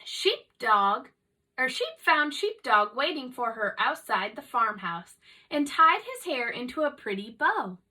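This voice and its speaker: A woman reads a children's story aloud in a high, bright voice. She takes it slowly and clearly shapes each line for young listeners. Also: sing-song, far from the mic. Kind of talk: animated children's read-aloud narration.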